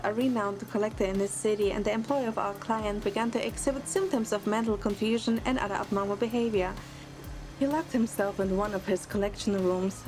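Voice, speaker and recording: A woman's voice: medium pitch, close to an everyday voice. A woman voicing a curious, earnest young female hunter recruit, narrating a game story in first person.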